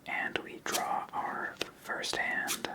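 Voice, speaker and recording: An ASMR host whispering commentary while playing through a tabletop card game. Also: very slow.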